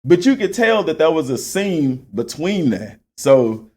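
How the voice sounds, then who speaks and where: A man's voice: on the high side, big pitch swings, a little slow, darkish tone. Casual guy doing gaming commentary. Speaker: video game channel host.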